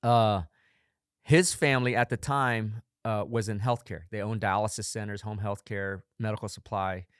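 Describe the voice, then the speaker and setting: A man's voice: on the low side, fairly even intonation, moderate pace, smooth, dry recording. A man in his forties hosting a podcast in a studio, telling stories with upbeat enthusiasm in a motivational monologue. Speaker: podcast host.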